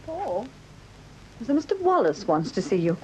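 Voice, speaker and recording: An actress playing a detective's brisk, confiding wife in a British radio mystery, chatty and speaking Received Pronunciation. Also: female voice, fairly high pitch, sing-song, mellow, a little hiss or hum, rough recording. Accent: English Received Pronunciation. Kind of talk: British radio drama acting.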